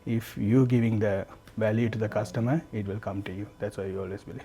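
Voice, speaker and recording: A man's voice: lowish voice, steady tone, fast, warm tone, a little hiss or hum. A man in his thirties from Sri Lanka with a Sri Lankan accent. He speaks earnestly as a guest in a podcast interview and often repeats and restarts phrases. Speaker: guest, candle business founder.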